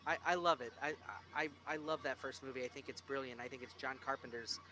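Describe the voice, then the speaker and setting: Bright-voiced, emphatic man talking films. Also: medium pitch, steady tone, fairly quick. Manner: emphatic, opinionated, profane. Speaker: podcast host. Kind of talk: casual film discussion.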